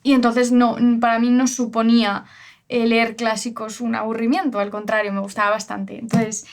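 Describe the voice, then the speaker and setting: A woman's voice: a little high, level tone, moderate pace. A woman from La Mancha with an accent from Spain, co-hosting a casual book discussion in an enthusiastic, chatty way. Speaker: co-host of a bookshop's book channel.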